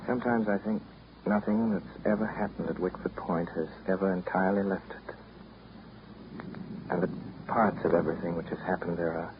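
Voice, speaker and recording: An actor playing a reserved, brooding man in a 1930s radio drama, speaking in a measured, reflective way. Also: deep voice, close to an everyday voice. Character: reserved, brooding New England man telling family memories. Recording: muffled, hissy 1930s radio recording.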